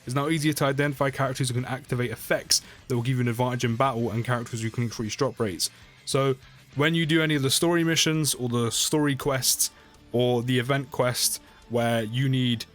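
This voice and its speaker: Guy chatting through game updates, repeats himself to make a point, normal pitch, bit of music behind. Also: a bit bright. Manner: chatty, repeats phrases for emphasis. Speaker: gaming video creator. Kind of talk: casual game update commentary.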